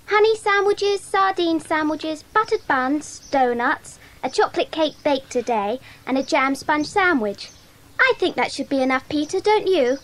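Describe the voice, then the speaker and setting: A young schoolgirl in a children's club story. The voice is high and very animated, eager yet practical, in a dramatised audiobook style. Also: unhurried. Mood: busy, eager.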